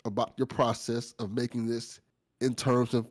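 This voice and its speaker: A man's voice: medium pitch, little pitch movement, moderate pace, darkish tone. A man hosting a casual interview, speaking in an emphatic, slangy, teasing way. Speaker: host. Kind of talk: casual, joking interview chat.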